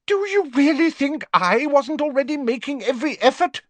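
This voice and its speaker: A man reading aloud and voicing an anxious, flustered middle-aged wizard minister who talks in a high, hurried, defensive way. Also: very animated, dry recording. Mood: heated.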